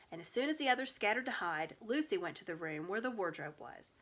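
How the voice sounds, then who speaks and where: Woman reading a kids book out loud, really animated, pitch moves around lots. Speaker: storybook reader. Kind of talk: expressive read-aloud of a children's story.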